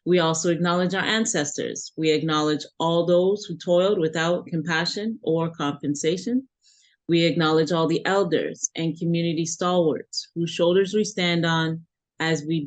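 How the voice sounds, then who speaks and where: A man's voice: on the high side, fairly even intonation, moderate pace. A man hosting an interview, effusive and heavy on "you know" fillers. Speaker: interview host.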